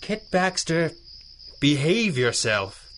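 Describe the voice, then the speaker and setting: An actor playing a confident masked crime-fighting hero with dry wit in a 1930s-style adventure radio drama. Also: male voice, on the high side, very animated, slow pace, fairly bright tone, a little hiss or hum, exaggerated voice. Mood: dry, teasing.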